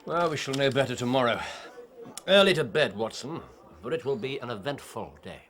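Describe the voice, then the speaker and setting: A man's voice: mid-range voice, animated, a little fast, very noisy, lightly acted. A man playing a keen, confident detective in a period radio drama, with theatrical, formal old-fashioned phrasing. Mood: determined.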